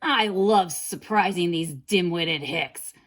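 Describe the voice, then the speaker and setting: A woman's voice: fairly low pitch, slow. A woman voicing a sly, greedy wolf villain from a children's storybook, wheedling and gloating. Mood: impatient, scornful. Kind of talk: children's storybook character reading.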